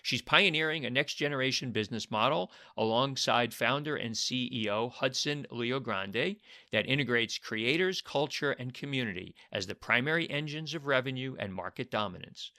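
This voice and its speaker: A man's voice: medium pitch, moderate pace, bright, forceful tone. A man hosting a podcast, reading an upbeat intro and then chatting with a guest, sometimes hesitating with fillers. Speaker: podcast host. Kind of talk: scripted intro then casual interview.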